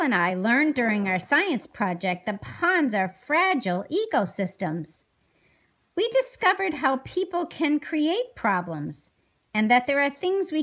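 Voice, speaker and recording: A woman's voice: sits high, big pitch swings, slow pace, fairly bright tone, full character voice. A woman playing an eager, friendly fifth-grade girl with an encouraging manner in a scripted puppet show.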